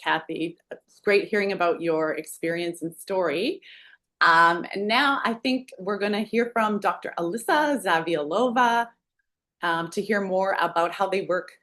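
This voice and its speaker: Woman webinar host, polite quick handoffs, middle pitch. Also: lively intonation, fairly bright tone. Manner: brief, polite transitions. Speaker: webinar moderator. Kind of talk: introducing panelists.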